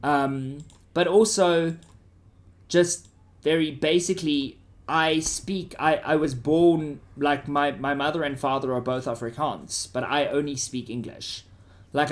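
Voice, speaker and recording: South african guy teacher, voice a bit on the high side, chatty lesson style, keeps checking you get it. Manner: informal, checks listeners' understanding. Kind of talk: conversational lesson to students.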